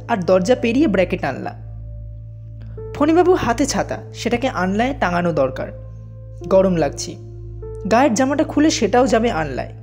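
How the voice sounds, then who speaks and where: A woman's voice: on the high side, a little mellow. A woman narrating a scripted audio story in a dramatic, suspenseful way. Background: music under the voice.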